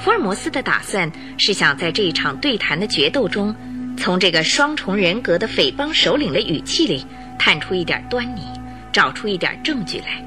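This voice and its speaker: A woman narrating a radio detective drama in a measured, dramatic storytelling manner. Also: mid-range voice, big pitch swings. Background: music.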